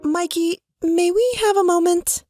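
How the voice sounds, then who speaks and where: A woman's voice: very high voice, unhurried, a little mellow, faint music behind. A woman playing a guarded, defiant stage magician in an audio drama, with a wry, self-assured manner. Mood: playful.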